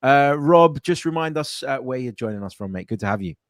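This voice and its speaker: A man's voice: medium pitch, animated, a little fast, dry recording. A man hosting a casual call-in podcast from his home office, chatting loosely with plenty of fillers and restarts. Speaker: podcast host.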